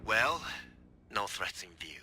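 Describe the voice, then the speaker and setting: A man playing a stoic, guarded ex-gangster assassin in a video game, terse and deadpan. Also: fairly low pitch, slow, a little hiss or hum, heavily acted. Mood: stoic, dry. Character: stoic, guarded male ex-Yakuza assassin bodyguard. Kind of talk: video game cutscene acting.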